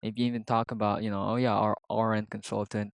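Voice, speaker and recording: Rambling low-voiced man with a Filipino accent. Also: little pitch movement, a little fast, vocal fry, smooth, no echo. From Philippines. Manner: rambling, many fillers and restarts. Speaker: vlogger. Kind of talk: casual vlog monologue.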